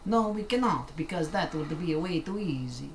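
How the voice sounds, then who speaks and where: A woman's voice: on the low side, expressive, moderate pace. A woman streaming a game from her desk, chatting casually, joking around, trying out put-on accents and talking back to the game. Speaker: gaming streamer. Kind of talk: casual live-stream commentary. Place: home room at a desk. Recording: roomy with faint room hum.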